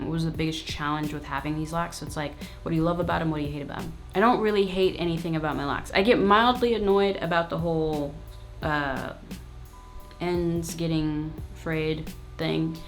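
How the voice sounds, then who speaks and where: A woman hosting her own video channel, answering viewers' questions in a frank, casual, slangy way. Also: fairly low pitch. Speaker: YouTube host. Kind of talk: casual Q&A vlog.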